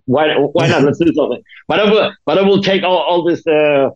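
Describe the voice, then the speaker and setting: A man with a German accent, a guest producer chatting haltingly in an interview, laughing often. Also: a little high, dry recording, highs cut off. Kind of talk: casual interview.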